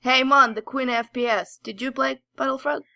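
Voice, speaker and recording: A woman's voice: sits high, fairly even intonation, brisk, gravelly, bright, forceful tone, no echo, clean studio sound. A woman co-hosting a podcast in casual chat, with a playful, teasing manner. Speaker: podcast co-host.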